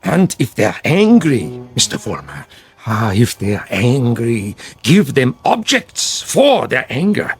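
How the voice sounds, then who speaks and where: An actor playing a sinister, commanding dead dictator in a radio drama, with a husky, insinuating, formal delivery. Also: male voice, mid-range voice, wildly swooping pitch, slow pace, full character voice. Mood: instructive, impassioned. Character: sinister dead dictator, coaxing a follower in demagoguery. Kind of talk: vintage-style radio drama acting.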